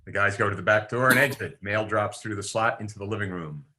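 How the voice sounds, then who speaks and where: A man with a low, crisp, forward voice reads out screenplay directions. He narrates each action in the present tense, as the reader at a table read. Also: fast talker, pushed and bright. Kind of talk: reading script directions aloud.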